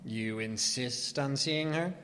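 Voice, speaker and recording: An actor playing a formal, outwardly timid husband who is a menacing killer in an old-time radio drama, prim and stiffly polite. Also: male voice, medium pitch, slow pace, pushed and bright. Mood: indignant, guarded. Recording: reverberant hall.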